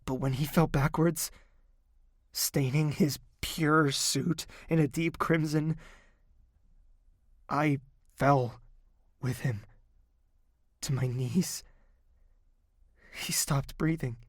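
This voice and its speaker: An actor playing an anguished young prince in a fantasy audio drama, raspy and emotionally intense. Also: male voice, on the high side, steady tone, slow, long pauses, no room sound, studio quality. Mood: stunned grief. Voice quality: raspy, strained.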